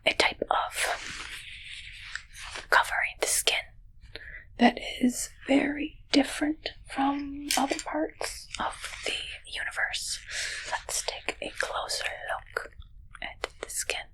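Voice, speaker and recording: Woman acting slow whispering anxious ship medic. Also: sits high, steady tone, a bit bright, rough recording. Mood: curious, clinical. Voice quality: breathy whisper. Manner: slow, halting, literal phrasing with repetitions. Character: puzzled, anxious female ship medic examining for aliens. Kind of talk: ASMR roleplay, whispered.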